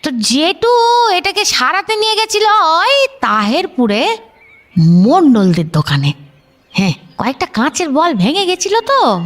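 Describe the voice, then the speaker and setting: A woman's voice: very high-pitched, very animated, a little slow, a bit bright, heavily acted. A woman playing an elderly village grandmother in an audio drama, chatty and chiding, full of rhetorical questions. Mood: puzzled, recalling. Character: scolding, reminiscing elderly grandmother of a village household. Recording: music behind the voice.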